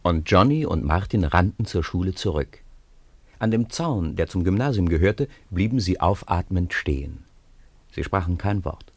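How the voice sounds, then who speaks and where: A man's voice: low-pitched, animated, moderate pace, husky, no echo. A man narrating a scripted story for a radio play.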